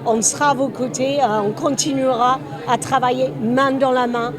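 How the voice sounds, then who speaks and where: High-voiced woman speaking amid a crowd. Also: fairly even intonation, moderate pace. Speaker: visiting city delegate. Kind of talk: press statement. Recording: busy crowd behind, outdoors.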